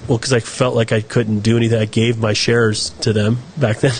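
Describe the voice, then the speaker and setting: An American man speaking at an ordinary middle pitch, loose and conversational. He wanders through his answers, restarting sentences and correcting himself as he goes. A relaxed guest on an interview. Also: moderate pace, slight background noise. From United States. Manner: rambling, self-correcting storyteller. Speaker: guest, film director.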